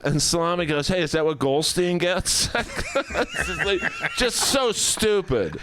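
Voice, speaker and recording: A man's voice: high voice, wildly swooping pitch, unhurried, background noise. A man, a comedian guesting on a podcast, telling bawdy stories in a rambling, casual way and acting out lines from old TV jokes.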